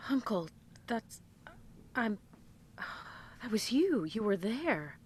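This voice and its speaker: Radio drama niece: inquisitive young woman, unhurried, gentle, hesitant, slightly distant sound. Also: a little high, very animated, a little hiss or hum. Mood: curious. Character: curious young niece questioning her uncle. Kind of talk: live radio drama acting.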